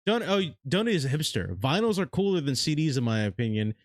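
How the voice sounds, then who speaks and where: A man with a deep, grizzled voice talks bluntly and swears freely, his pitch swinging widely as he gets worked up. The sound is dry and close to the mic, like a casual podcast. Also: quick pace, no room sound. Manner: blunt, profane, animated outbursts. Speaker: podcast co-host. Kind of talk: casual chat.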